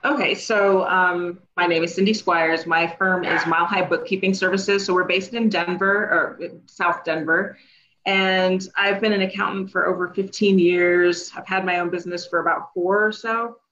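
A woman's voice: on the low side, normal speed, highs cut off, a little hiss or hum. A middle-aged woman who runs a bookkeeping firm, chatting as a guest on a live stream. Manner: chatty, relaxed, laughs easily. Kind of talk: casual live-stream chat.

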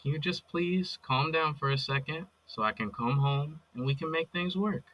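An actor with an American accent playing a possessive, manipulative ex-boyfriend in an audio drama, coaxing and volatile. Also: male voice, on the high side, moderate pace, dull audio, close to an everyday voice. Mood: sweet, coaxing. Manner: volatile, coaxing with pet names, swearing. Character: possessive, manipulative abusive ex-boyfriend, pleading and menacing.

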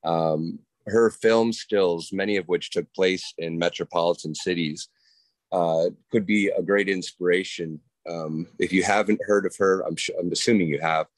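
A middle-aged man speaking on a group call, enthusiastic and deliberate. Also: low voice, no echo, poor audio. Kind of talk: casual group call chat.

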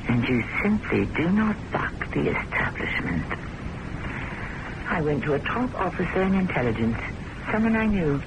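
A woman's voice: sits low, big pitch swings, normal speed, muffled recording. On a noisy vintage radio recording, a resolute, accusing woman. Her delivery is emphatic and sarcastic, swinging through a wide melodramatic range. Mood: bitter, insistent. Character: accusing, resolute woman who worships and defies her boss. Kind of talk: vintage radio drama acting.

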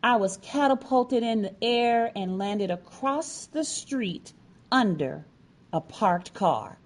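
A woman narrating a personal story from a script, with deliberate, dramatic phrasing. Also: on the high side, expressive. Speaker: featured storyteller.

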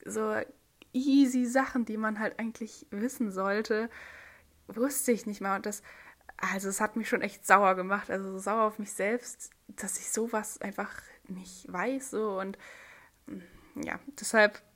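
A woman's voice: medium pitch, fairly even intonation, unhurried, a bit bright. Young German woman, solo podcast host, casual and giggly, lots of fillers. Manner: chatty, giggly, with many fillers and restarts.

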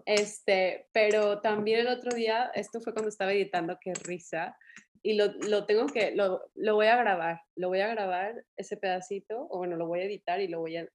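A woman's voice: mid-range voice, moderate pace. Chatty woman podcast co-host. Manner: animated storytelling, laughs often. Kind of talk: casual chat.

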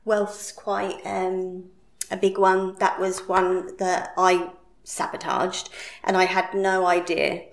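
English woman, slow and careful, ums between items, roomy sound. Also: fairly low pitch, completely flat delivery. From England. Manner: slow, listing examples with fillers. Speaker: guest coach. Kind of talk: explaining in casual podcast chat.

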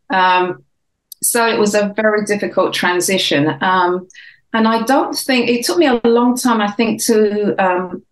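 An older English woman with a softened London accent reminisces in a loose, rambling way, circling back and restarting her sentences. Her voice sits in the middle of the range and rings a little in a roomy, echoing space. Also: medium pitch. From England. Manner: reflective, rambling with many restarts. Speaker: author and guest. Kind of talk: casual interview chat. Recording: roomy echo.

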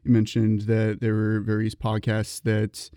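Mellow dark-voiced guy hosting a casual interview, very dry sound. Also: lowish voice, completely flat delivery, a little slow, smooth, no echo. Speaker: podcast interviewer, host.

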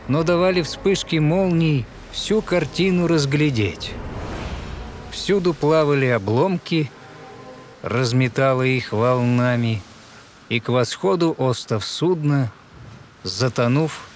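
A man narrating a fairy tale in verse with measured, theatrical, expressive recitation. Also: medium pitch, slight background noise.

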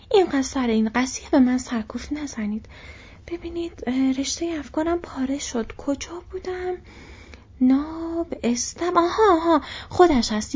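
A woman's voice: high voice, darkish tone, voice pushed up. A woman voicing a fussy, bumbling old male innkeeper who rambles and exclaims, in a dull lo-fi recording.

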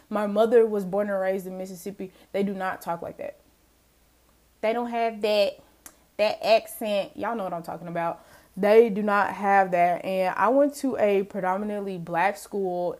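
A young adult woman hosting her own podcast, chatting casually and laughing often as she talks to listeners. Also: mid-range voice. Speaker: podcast host. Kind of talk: casual solo podcast chat.

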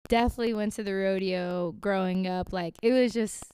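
Chatty young Houston woman, smooth clear voice. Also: mid-range voice, fairly even intonation, fairly quick, mellow. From Houston, Texas. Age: young adult. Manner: chatty, lots of "like", laughs often. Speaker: podcast guest, dancer. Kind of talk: casual interview chat.

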